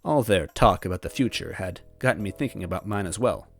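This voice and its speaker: A man's voice: low-pitched, lively intonation, fairly quick, creaky. A man playing an anxious, self-doubting young man who narrates his own story in a hesitant, wavering way. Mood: anxious, brooding. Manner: hesitant, wavering, second-guessing. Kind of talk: first-person storybook narration. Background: background music.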